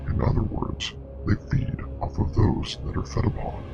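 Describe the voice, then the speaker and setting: A man playing a grave, knowing investigator and parasite expert in a scripted web horror drama, explaining in a measured, clinical way with a breathy, gravelly voice. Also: muffled recording, natural voice. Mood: grave, explanatory. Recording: music playing behind.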